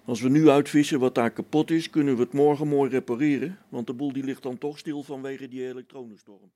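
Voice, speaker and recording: A man's voice: mid-range voice, steady tone, fairly quick, mellow, slight background noise, lightly acted. A man playing a practical, down-to-earth space engineer in a radio play, speaking in a colloquial way. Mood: matter-of-fact. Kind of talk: amateur science fiction radio play acting.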